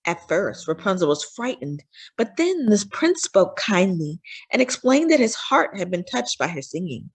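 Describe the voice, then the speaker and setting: Children's storyteller, female, animated pitch, dramatic emphasis, narrating fairy tales to young listeners. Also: normal speed, husky. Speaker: children's read-aloud storyteller.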